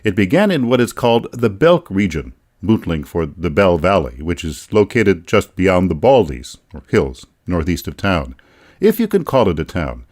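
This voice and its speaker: A man with an American accent and a very low voice reads scripted documentary narration. He tells it like a folksy storyteller, with a dry wit running through the delivery. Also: big pitch swings, normal speed, darkish tone. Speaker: radio feature narrator and author.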